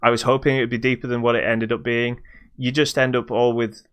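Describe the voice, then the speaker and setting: A man co-hosting a podcast, reviewing games in casual chat with halting explanations that trail into dismissive asides. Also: mid-range voice, level tone, a bit bright, smooth. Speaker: podcast co-host.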